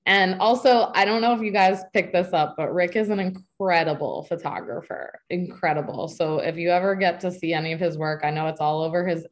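A woman's voice: fairly low pitch, brisk, hardly any pauses. A young woman in her twenties hosting a video-call webinar from her living room, gushing and upbeat with lots of filler words. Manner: bubbly, gushing, many likes and ums. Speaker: host. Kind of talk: enthusiastic host moderating a webinar. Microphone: webcam on a video call.

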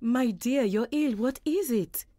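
An actress playing a tender, devout and concerned wife in a radio drama. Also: female voice, a little high, measured pace, dark tone, no echo, clean studio sound, faint music behind. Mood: worried. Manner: tender, solicitous.

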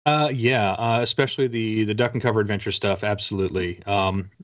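A man's voice: on the low side, fairly even intonation, fairly quick. A middle-aged man from Canada chatting casually as an author being interviewed, with a conversational, self-deprecating humor. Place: book-lined room indoors, video call. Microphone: video call. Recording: slightly dull video-call audio.

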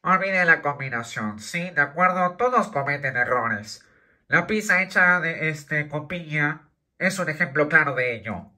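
A man's voice: a little high, lively intonation, measured pace, bright, forceful tone, heavily acted. A man voicing a boastful, evasive schoolboy in an amateur comic fandub, cocky and defensive.

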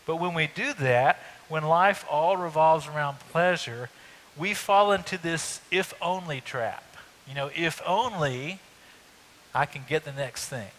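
A middle-aged man preaching a sermon on a church stage, talking in a conversational way with lively emphasis. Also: on the high side, unhurried, poor audio. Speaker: pastor. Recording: faint hiss.

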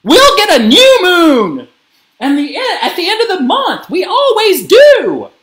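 A woman voicing a fierce, doting mother shrew from a children's story, shrill and bellowing. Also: wildly swooping pitch, unhurried, fairly bright tone, echoey room, full character voice. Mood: reassuring, screaming. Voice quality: shrill, screaming. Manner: bellowing, dramatic.

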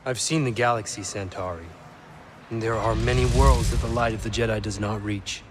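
An actor playing a confident, impatient Jedi knight in a video game, with an earnest and assured delivery. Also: male voice, lowish voice, steady tone, moderate pace, noisy background, lightly acted. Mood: resolute. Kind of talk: cinematic video game acting.

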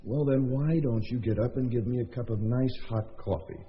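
An actor playing a stubborn, unromantic writer husband in a radio drama, dry and dismissive. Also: male voice, mid-range voice, normal speed, a little hiss or hum, close to an everyday voice. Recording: dull lo-fi radio drama audio.

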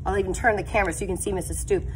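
A woman's voice: mid-range voice, fairly even intonation, racing, background noise. A woman in her thirties, a teacher, talking upbeat to the camera in a classroom and asking questions. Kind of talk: classroom video lesson. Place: school classroom, indoors. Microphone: phone camera at arm's length.

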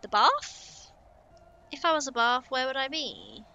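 A woman's voice: sits high, expressive, a little slow, sharp tone, muffled recording. A young woman, chatting casually to herself while playing a building game on stream. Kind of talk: casual gameplay commentary.

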